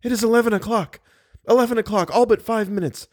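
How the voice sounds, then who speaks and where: Male narrator as frightened young woman, raised pitch, urgent, pleading, captive heroine in a gothic audiobook. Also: quick pace. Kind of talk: dramatic audiobook character voice.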